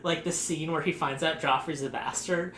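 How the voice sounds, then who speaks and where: A man chatting loosely as a podcast host, his bright, forceful voice carrying through a rambling, sarcastic riff with frequent laughs. The room rings with echo, and there's a faint noise floor under him. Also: fairly high pitch, steady tone, moderate pace. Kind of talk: casual group podcast chat.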